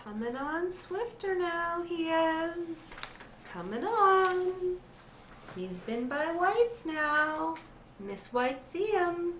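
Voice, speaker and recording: Spooky country girl, super high voice, slow and swooping, radio play, echoey noisy recording. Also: lively intonation, heavily acted. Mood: eerie, trancelike. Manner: eerie, incantatory, folksy rural speech. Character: haunted, fey young country girl seeing ghostly visions. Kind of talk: old-time radio drama acting. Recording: dull, echoey recording with background noise.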